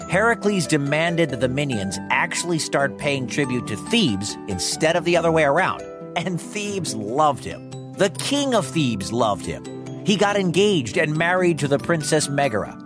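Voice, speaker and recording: A man's voice: fairly high pitch, big pitch swings, unhurried, music in the background. A man telling a scripted story for children in a conversational, dramatic way with wry, casual asides. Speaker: storyteller, podcast host.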